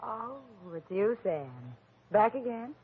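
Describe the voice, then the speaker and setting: A woman's voice: fairly high pitch, animated, slow pace. A woman playing a cool, sardonic young society woman in a 1940s radio drama. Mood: cool, guarded. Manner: dry, sardonic. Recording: noisy, muffled old radio recording.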